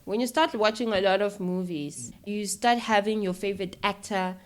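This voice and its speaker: A woman with a South African accent chatting casually and telling stories as an interview guest, in an informal, animated manner. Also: medium pitch, normal speed. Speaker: interview guest, actress and filmmaker. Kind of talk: casual conversational storytelling.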